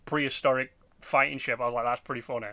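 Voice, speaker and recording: A man chatting casually as a podcast host, rambling and halting, with loose swearing. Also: medium pitch, steady tone, sharp tone, no room sound. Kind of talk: casual chat about game movies.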